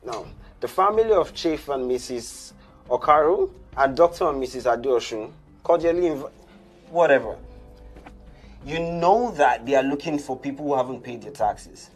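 A man's voice: mid-range voice, animated, normal speed, breathy edge, faint music behind. An actor playing an angry young male singer who scolds his manager. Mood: furious. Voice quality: exasperated, scolding. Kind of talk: TV comedy sketch acting.

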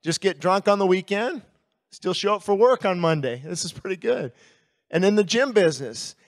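Male pastor, 50s, American accent, high-pitched voice, candid storytelling sermon style, handheld mic on an outdoor stage. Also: animated. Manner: conversational, candid storytelling with pauses and repeated words. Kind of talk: sermon with personal testimony. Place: outdoor stage at a baseball stadium in the evening. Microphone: handheld microphone.

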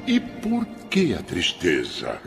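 A man voicing a gentle, thoughtful, fatherly friend in a cartoon dub, with a Brazilian accent. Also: sits low, wildly swooping pitch, slow, gravelly, over music, full character voice. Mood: puzzled, pondering. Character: gentle, thoughtful male friend, slow to answer, fatherly advisor. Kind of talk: animated cartoon dub acting.

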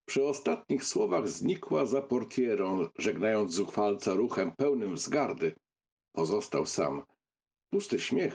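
Male audiobook narrator: raspy mid-range voice, expressive literary storytelling with animated melody. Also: medium pitch.

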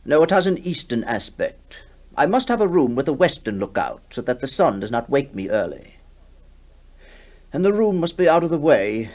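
A man's voice: medium pitch, very animated, normal speed, a little mellow. A man playing an imperious, hearty, impatient country squire in a radio drama, commanding and dismissive. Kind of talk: 1960s radio drama acting.

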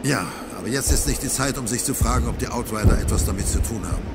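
A man playing a steady, fatherly older military commander, calm and gravelly, in an audio drama. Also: medium pitch, quick pace, over loud music, natural voice. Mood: resolute. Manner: calm, authoritative. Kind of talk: German audio drama acting. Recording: echoing, noisy battle ambience.

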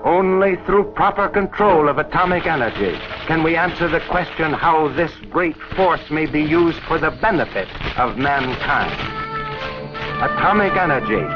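A man's voice: fairly high pitch, lively intonation, normal speed. A man narrating a scripted 1940s educational film in a solemn, declamatory way. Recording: dull, band-limited 1940s film soundtrack. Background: orchestral film score music.

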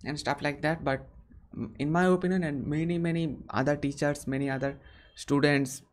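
A young man answers viewer questions on a live stream from a small room. He speaks earnestly and haltingly, often restarting his sentences, and is recorded on a webcam. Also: on the high side, steady tone, a little hiss or hum. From India. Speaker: English-learning channel host.

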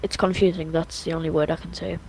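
A man's voice: a little high, little pitch movement, fast talker, gravelly, heavy background noise. A man joining a game stream over voice chat, telling stories in a joking, playful way. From United Kingdom. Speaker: guest player on voice chat. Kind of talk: casual gaming chat.

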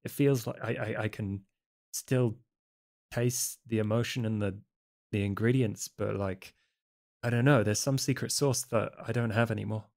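A man role-playing a troubled, uncertain male vampire in a tabletop game, speaking in a halting, hesitant way. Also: lowish voice, fairly even intonation, creaky, a little mellow. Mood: hesitant, confiding. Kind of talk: improvised tabletop role-play acting.

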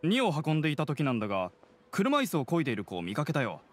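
An easygoing young merchant. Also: male voice, a little high, wildly swooping pitch, fast. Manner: easygoing, helpful chat. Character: friendly young male merchant.

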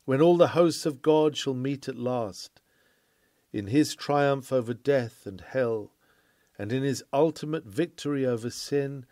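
Earnest, mellow man reading a sermon. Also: on the high side, no room sound, clean studio sound. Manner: earnest, declamatory, formal. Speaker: sermon reader. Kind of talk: read-aloud classic sermon, oratorical.

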